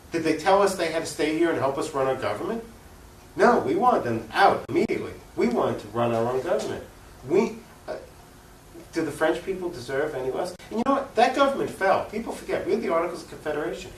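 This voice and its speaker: A man's voice: fairly high pitch, very animated, a little fast, a little hiss or hum. Man, activist interview guest, emphatic, opinionated, unscripted argument, echoey room, distant mic. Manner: opinionated, emphatic, poses rhetorical questions. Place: room recording for a cable access show.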